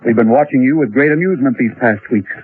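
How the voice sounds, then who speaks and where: A man's voice: medium pitch, natural voice. Man playing a coolly sinister doctor, tinny old radio. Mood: coolly menacing. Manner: measured, smoothly reassuring, coolly menacing. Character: calm, sinister doctor, secretly an alien agent. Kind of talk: old-time radio drama acting.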